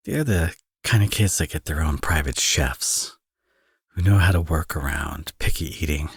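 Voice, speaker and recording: A man acts out a teasing villain turned doting father for an ASMR romance roleplay. His voice is low and husky, recorded close and dry. He sounds smug and playful, talking as if to an old flame. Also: no room sound, exaggerated voice. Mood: confident, teasing. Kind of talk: ASMR audio roleplay, romantic drama acting.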